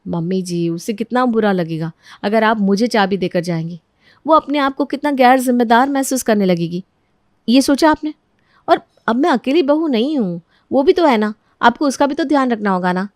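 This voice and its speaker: A woman's voice: fairly high pitch, expressive, normal speed, a little mellow, no echo, natural voice. Proud young elder daughter-in-law, acted by a woman, scheming and insistent under false sweetness, dry studio sound. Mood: sweetly persuasive. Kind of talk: Hindi moral story character acting.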